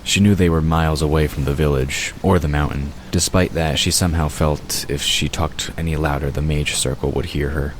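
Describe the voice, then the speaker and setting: A man with an extremely deep voice narrates a scripted audio drama. Clear ambient noise runs behind his storytelling. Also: steady tone, normal speed, hardly any pauses.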